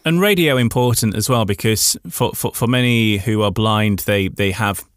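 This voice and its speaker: Man, fairly low voice, dry close sound, radio host, interviewing, slightly hesitant, repeated words. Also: moderate pace, no echo.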